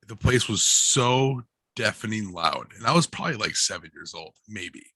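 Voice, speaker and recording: A man with a low, forceful, raspy-edged voice chats informally on a video call. He tells personal anecdotes with animated, slangy phrasing. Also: normal speed, dry recording. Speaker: guest, barber and livestreamer. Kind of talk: casual personal anecdotes in a group video chat. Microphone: headset on a video call.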